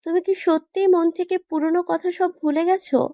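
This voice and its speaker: Woman voicing a high-pitched doting young wife. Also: fairly even intonation, normal speed, dark tone, no echo, clean studio sound. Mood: curious, earnest. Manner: doting, teasing. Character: affectionate, playful young wife in love.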